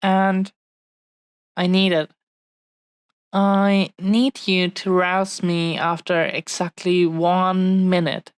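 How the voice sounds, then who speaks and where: A woman playing an affectionate, possessive dragon-blooded fiancée in an intimate romantic audio roleplay, speaking tenderly and haltingly to the listener. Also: fairly low pitch, little pitch movement, clean tone, no echo, clean studio sound. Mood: tender, pleading. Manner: tender, halting, lingering over short phrases.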